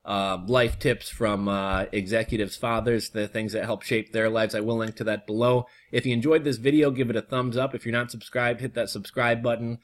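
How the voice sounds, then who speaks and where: A hoarse young man who reads an article aloud on his vlog and drifts into loose, casual chatter full of fillers. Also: mid-range voice, fairly even intonation, normal speed, hardly any pauses. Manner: reads steadily, then chats loosely with fillers. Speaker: vlogger.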